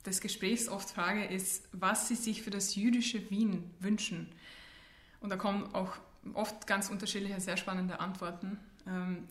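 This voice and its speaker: A woman hosting an interview in a conversational way, putting questions to her guest. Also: medium pitch. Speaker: interview host. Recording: roomy, echoey sound.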